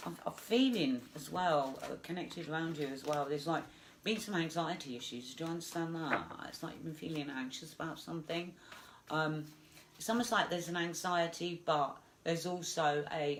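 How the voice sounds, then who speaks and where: A woman's voice: low-pitched, a little hiss or hum, rough recording. A woman with a stuffed-up voice from a flu, giving a chatty live psychic reading with many fillers. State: congested with flu. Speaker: psychic medium.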